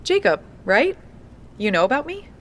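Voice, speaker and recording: An actress playing a diligent, polite young researcher, speaking matter-of-factly into a field recorder in an audio drama. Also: female voice, sits high, big pitch swings, normal speed, fairly bright tone. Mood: polite, persistent. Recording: noisy handheld field tape with clicks.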